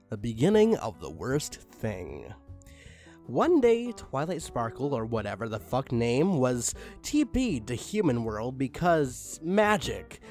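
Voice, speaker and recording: Mocking man reading a fanfic aloud. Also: medium pitch. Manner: mocking, deadpan asides, reads punctuation aloud. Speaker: reader of online stories. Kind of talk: comedic dramatic reading with commentary.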